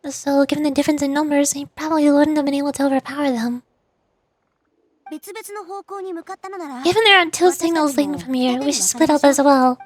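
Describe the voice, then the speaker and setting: A woman voicing a proud, serious female tracker from a fantasy game, measured but easily irritated. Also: high voice, natural voice.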